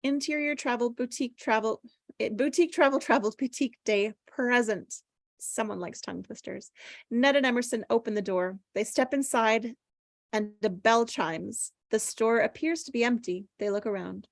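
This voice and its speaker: A woman's voice: fairly high pitch, moderate pace, creaky, dry recording, clean studio sound. A woman reading stage directions aloud from a screenplay at a table read.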